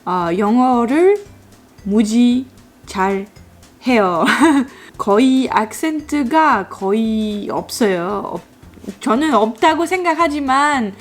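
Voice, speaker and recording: A woman from France with a French accent, chatting casually as a vlogger, bubbly and halting with fillers. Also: a little high, expressive, soft background music. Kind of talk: casual chat.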